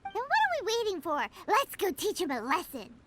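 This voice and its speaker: A woman voicing a tiny floating girl companion in a game, excitable and referring to herself by name. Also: fairly quick, heavily acted. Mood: eager. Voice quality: high childlike squeaky voice. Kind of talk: game voice acting. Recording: game audio over loud music.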